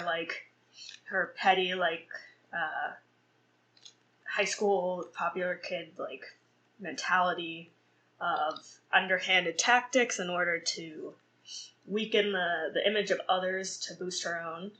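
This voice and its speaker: A woman's voice: on the low side, bright, forceful tone, rough recording. A young woman hosting a solo podcast and reviewing a film, rambling with many fillers and restarts and laughing at her own slips. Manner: laughs at herself. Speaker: podcast host.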